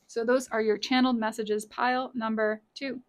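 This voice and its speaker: A woman with a slightly high, raspy and scratchy voice talks through a tarot spread from her small office. She chats casually, corrects herself mid-sentence and repeats the lines that matter. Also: flat delivery, normal speed. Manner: chatty, self-correcting, repeats key lines. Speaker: tarot reader. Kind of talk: casual pick-a-card tarot reading.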